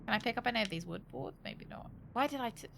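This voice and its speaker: A woman chats through a game for her stream with a high, very lively voice. She thinks aloud, rambles and is cheeky and sweary. There is faint noise behind her bright delivery. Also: fast, vocal fry. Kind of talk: casual live game commentary, thinking aloud to chat.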